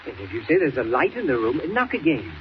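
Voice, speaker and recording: An actor playing a fussy, genteel older gentleman with a pompous, wordy manner in a 1940s radio serial. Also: medium pitch, huge pitch swings, fairly quick. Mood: startled. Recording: noisy, dull old radio transcription.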